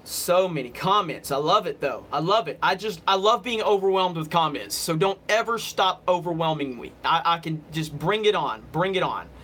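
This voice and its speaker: A man's voice: fairly high pitch, animated, normal speed, few pauses, pushed and bright, slight background noise. A man from Alabama with a slight Southern US accent, hosting a casual livestream and chatting off the cuff with commenters in a rambling way. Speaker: livestream host. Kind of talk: casual live chat with commenters.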